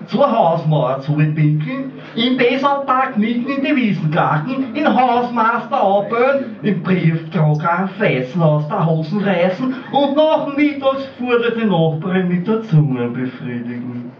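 A man speaks slowly with a lively, swinging pitch, halting on ums before each comic verse. The sound is distant and roomy, dulled like a camcorder in a small pub. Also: dull audio, slight background noise. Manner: halting, ums between bits, jokey. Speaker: slam poet. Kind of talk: comic dialect poem recital.

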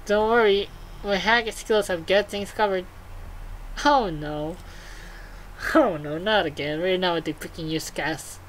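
A woman's voice: lowish voice, measured pace, slight background noise, rough recording. A woman hosting a let's play, reading game dialogue aloud and reacting casually. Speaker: gaming video host.

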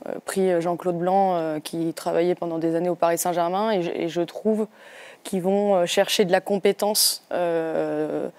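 Woman pundit, lowish soft dark voice, studio debate. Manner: hopeful, hesitant.

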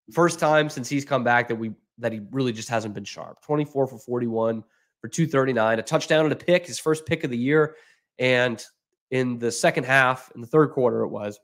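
A young man breaks down a bad game on his own sports podcast, sounding exasperated and sarcastic. He talks straight to his viewers. His voice sits at an ordinary middle pitch, close on a desk mic in a small room at home. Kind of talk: postgame analysis, casual rant. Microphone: desk microphone in front of him.

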